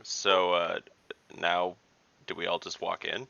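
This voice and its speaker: A man's voice: low voice, flat delivery, slow, creaky, sharp tone, smooth, no room sound, dull audio. A man playing an online tabletop game, trading loose, bawdy, joking banter with friends. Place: online group voice call.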